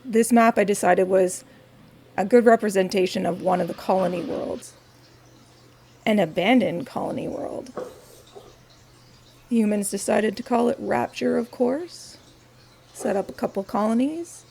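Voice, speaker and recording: A woman with a raspy, gravelly voice talks softly and at an unhurried pace in a quiet home studio vlog, dropping wry little asides. A faint hiss of background noise sits under her voice. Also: mid-range voice. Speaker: crafting vlogger.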